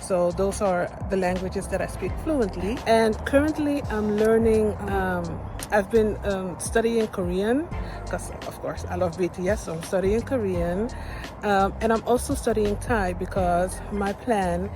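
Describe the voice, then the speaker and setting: A woman's voice: mid-range voice, measured pace, music in the background, poor audio. A woman from Suriname whose first language is Dutch, chatting casually and expressively in a personal vlog. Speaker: life coach presenting a personal vlog. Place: outdoors by a field.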